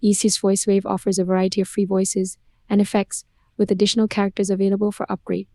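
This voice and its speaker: A woman narrating a scripted product promotion in a smooth, upbeat, evenly paced read. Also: medium pitch, monotone, fast talker, mellow, no echo.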